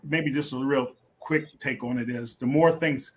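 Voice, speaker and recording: A man's voice: mid-range voice, steady tone, unhurried, slight background noise. A man giving a casual interview answer as a guest, hesitant and repeating words as he thinks. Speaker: stage play cast member, interview guest.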